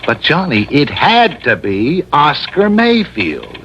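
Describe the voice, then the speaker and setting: An actor playing an excitable, rambling American insurance man in an old radio drama, folksy, exasperated and emphatic. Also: fairly high pitch, takes it slowly, exaggerated voice. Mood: exasperated, insistent. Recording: muffled old radio with hiss.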